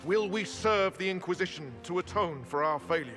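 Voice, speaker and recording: An actor playing a solemn, weary templar knight of a disgraced order in a game cutscene, formal and declamatory. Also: male voice, high voice, moderate pace. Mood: solemn, resigned. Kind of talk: video game cutscene acting. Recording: loud game music behind the voice.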